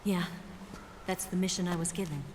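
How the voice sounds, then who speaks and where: An actress playing a confident, flirtatious female double agent in a cinematic video game, speaking in a cool, teasing, measured way. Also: fairly low pitch, fairly even intonation, slight background noise, close to an everyday voice. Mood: businesslike, confiding. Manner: cool, assured, teasing, measured briefing delivery. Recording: long reverb, loud music behind. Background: cinematic game music.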